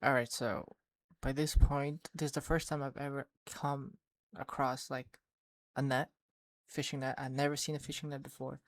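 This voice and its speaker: A man, a fishing vlogger, talks over his footage in a level, monotone voice. he rambles and restarts a lot. the sound is dry and close, with no room in it. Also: mid-range voice, normal speed, no room sound. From Sweden. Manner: rambling, many restarts and fillers. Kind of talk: casual voice-over recap.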